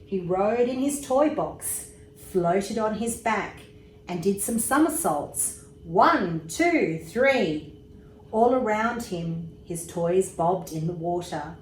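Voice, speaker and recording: A woman reads a children's bedtime story at a slow, unhurried pace. Her delivery is expressive, with small asides about the pictures. The room gives her voice noticeable echo, with faint noise behind it. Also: mid-range voice. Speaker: storybook reader, emergency services commissioner. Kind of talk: bedtime story read aloud to young children.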